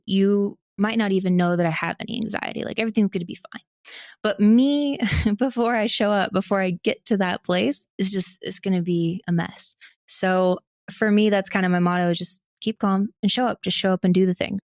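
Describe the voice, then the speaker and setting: A woman's voice: medium pitch, normal speed, dry recording. Candid woman chatting, close dry sound. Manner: candid, animated self-quoting, frequent "like". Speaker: podcast guest, audio drama creator. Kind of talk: casual interview chat.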